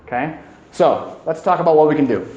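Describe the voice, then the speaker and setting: Higher-voiced man lecturing in an echoey room. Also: a little fast, a little mellow, dull audio. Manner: emphatic, repeats key phrases. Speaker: presenter giving a climate talk. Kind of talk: informal educational lecture to a live audience.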